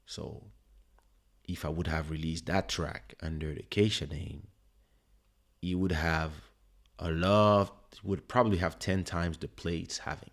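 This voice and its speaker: A man with a French accent, a native French speaker, hosting a livestream listening party in relaxed, rambling casual chat with many fillers. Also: low-pitched, a little slow. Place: home streaming setup. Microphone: studio desk microphone.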